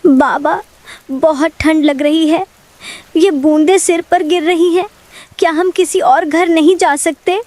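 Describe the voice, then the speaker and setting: A woman's voice: very high voice, fairly even intonation, unhurried, a little mellow, no room sound. A woman voicing a frightened, trusting little village girl in a cartoon story, pleading in a childlike way. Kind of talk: dramatic cartoon story dialogue.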